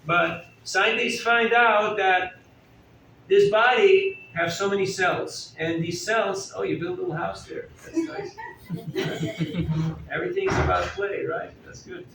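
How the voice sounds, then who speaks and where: A man's voice: sits high, slow pace, a bit bright. A man giving a chatty, conversational sermon to a live audience, often asking them questions and joking. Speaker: spiritual teacher giving a talk. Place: indoor hall at a festival gathering. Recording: echoey hall, distant mic, faint room noise.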